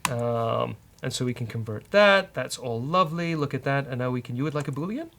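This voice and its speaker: A man's voice: medium pitch, animated, brisk, fairly bright tone. A man hosting a casual coding show, bantering with a co-host and thinking aloud as he works.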